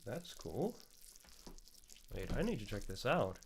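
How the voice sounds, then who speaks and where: A man streaming casually, excitable and scattered, half muttering to himself as he goes. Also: lowish voice, slow pace, gravelly, a little hiss or hum. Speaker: streamer and amateur voice actor. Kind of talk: casual live-stream chat.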